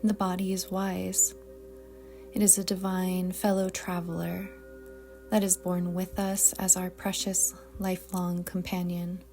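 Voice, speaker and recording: A woman guiding a yoga nidra meditation in a soft, breathy, calm and deliberate voice that often trails toward a whisper. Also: lowish voice, soft background music. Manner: calm, soothing, gentle, deliberate. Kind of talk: slow guided meditation narration.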